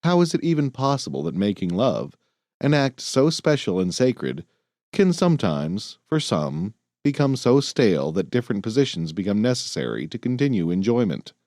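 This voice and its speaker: A man narrates a self-help style audiobook in a soft-edged, mellow voice. His pitch sits a little low but moves freely for emphasis. The phrasing is measured, earnest and clearly scripted. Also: very animated, no echo, studio quality.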